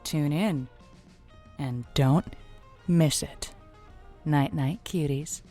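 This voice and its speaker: A woman playing a gushing late-night radio advice host who gives halting, theatrical pep talks in an audio drama. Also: low-pitched, warm tone, soft background music, exaggerated voice. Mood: fond sign-off. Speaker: radio call-in show host.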